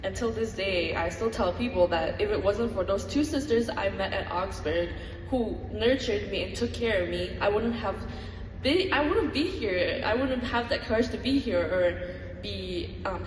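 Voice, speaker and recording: A woman's voice: medium pitch, normal speed, a little hiss or hum. A young woman, giving an earnest, reflective personal talk to an audience. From Malaysia. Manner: earnest, reflective, with light laughs. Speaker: student speaker. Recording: echoey hall from far off.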